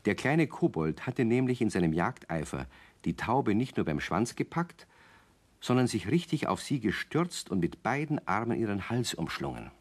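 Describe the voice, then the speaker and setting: A man narrating a children's radio play as an avuncular, gently wry storyteller who addresses his young listeners directly. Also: on the low side, sing-song, moderate pace.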